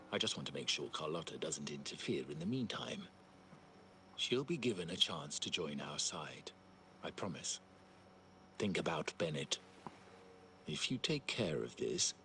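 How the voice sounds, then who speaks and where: A man's voice: rumbling low, fairly bright tone, slight background noise, exaggerated voice. A man playing a cold, ambitious schemer in a crime family, speaking with controlled, calculating menace in a video game. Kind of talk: dramatic video game dialogue.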